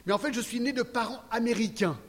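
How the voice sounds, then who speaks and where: A man's voice: very high-pitched, fast talker. A man in his sixties, American raised in Geneva, preaching a sermon and sharing his testimony, chatty and often checking in with the congregation. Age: 60s. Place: church hall. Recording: long reverb hall, faint ambience.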